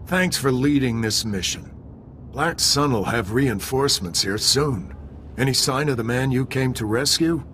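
An actor playing an earnest Republic soldier in a video game, talking briskly and sincerely. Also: male voice, medium pitch, animated, fairly bright tone, a little hiss or hum. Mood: brisk, grateful. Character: gritty, grateful Republic soldier leading troops. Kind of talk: video game cutscene acting.

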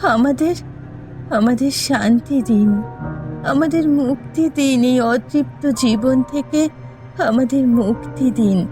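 High, tearful ghost girl played by a woman. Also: slow pace, warm tone. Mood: pleading. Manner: tearful, pleading. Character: anguished ghost of a wronged, starving village girl. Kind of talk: dramatic cartoon voice acting. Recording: music behind the voice.

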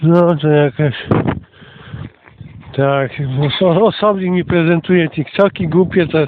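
A man's voice: fairly high pitch, fairly even intonation, slow pace. A man with a congested, cold-affected voice hosting a casual, rambling and hesitant amateur commentary while walking outdoors. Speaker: host and narrator of an amateur outdoor audio recording. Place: outdoors, walking along a rural path. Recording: tinny phone-like sound, loud outdoor noise.

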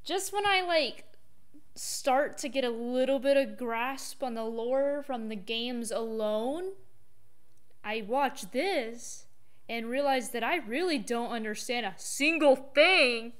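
A woman giving casual reaction commentary as a gaming video host, thinking aloud in a halting way. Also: a little high, expressive, a bit bright.

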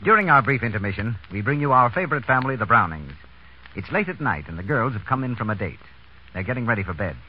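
A man's voice: mid-range voice, very animated, quick pace, slight background noise. A man announcing in scripted 1930s radio style as a program announcer. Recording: muffled 1930s radio broadcast.

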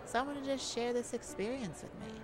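A woman's voice: on the high side, fairly quick, natural voice. A woman playing a playful, shyly romantic lady thief in an ASMR audio roleplay, with a teasing, hesitant manner. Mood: flustered, shy. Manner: teasing, hesitant, breaking into soft laughs. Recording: music behind the voice.

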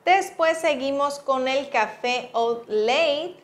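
High-pitched Mexican woman, chatty beauty vlogger. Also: slow pace. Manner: chatty, informal, uses affectionate diminutives. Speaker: beauty vlogger, channel host. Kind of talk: casual makeup product review.

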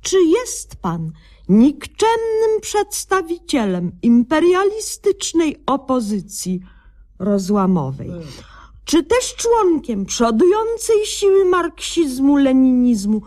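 A woman's voice: high voice, sing-song, slow, a little mellow, heavily acted. A woman playing a pompous, naive young doctor in a satirical radio sketch, speaking with bureaucratic, mock-learned solemnity. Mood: officious, earnest. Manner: bureaucratic, mock-learned, malapropism-laden delivery. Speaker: comic narrator and doctor.

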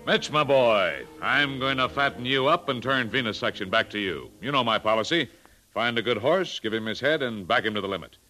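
An actor in a 1950s radio drama playing a hearty, domineering older agency boss with a paternal, declamatory manner. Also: male voice, mid-range voice, expressive, fairly quick, bright, forceful tone, soft background music. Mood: hearty, urgent.

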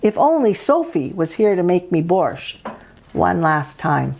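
A woman's voice: on the low side, big pitch swings, measured pace, gravelly, darkish tone, a little hiss or hum. An older woman from Canada, an author and host, reading aloud and presenting a home cooking demonstration. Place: indoors at a dining table in a home.

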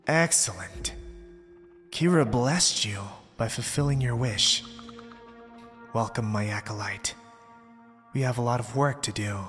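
A man's voice: mid-range voice, a little slow, pauses often, a bit bright, natural voice. A man playing a mysterious young zealot, polite, pompous and persuasive, in an ASMR roleplay drama. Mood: triumphant. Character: mysterious, smooth-talking young male zealot cult recruiter. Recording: long reverb, background music. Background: music.

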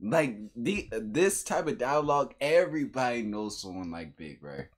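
A young man giving casual reaction commentary in an animated, slangy way, repeating phrases. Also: mid-range voice, moderate pace. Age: young adult. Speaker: reaction video host. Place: small bedroom, indoors.

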